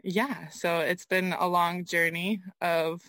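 A woman's voice: lowish voice, steady tone, slow pace, a bit bright, no room sound, studio quality. An American woman from Southern California answering interview questions as an artist guest, conversational and often searching for her words. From United States, mainly Southern California. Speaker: interview guest, designer and sculptor.

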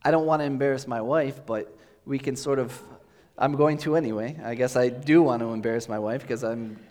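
A pastor giving a relaxed, conversational sermon, explaining as he goes with thinking-aloud pauses, recorded in a church sanctuary. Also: mid-range voice. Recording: long hall reverb.

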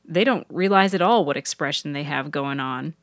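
A woman's voice: sits low, normal speed, no echo, clean studio sound. A woman voicing a sweet, conspiratorial young woman intern in a fiction podcast. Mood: amused. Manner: sweet, hushed, knowing. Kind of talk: audio fiction character dialogue.

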